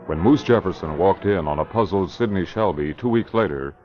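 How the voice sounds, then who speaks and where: A man's voice: sits low, brisk. A man narrating an old radio drama in a dramatic, announcer-like manner. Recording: dull lo-fi old radio recording with music.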